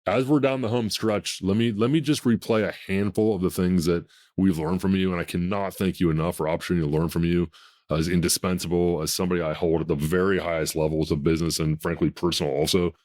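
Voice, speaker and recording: A man in his fifties with a deep voice, hosting a podcast in a blunt, emphatic, self-assured way. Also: fairly even intonation, quick pace, darkish tone. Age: 50s. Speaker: podcast host. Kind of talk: casual podcast conversation.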